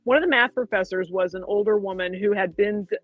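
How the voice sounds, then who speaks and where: A woman's voice: mid-range voice, fast talker, no echo. A woman in her forties chatting casually and reflectively, with rambling asides. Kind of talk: casual chat. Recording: dull lo-fi audio.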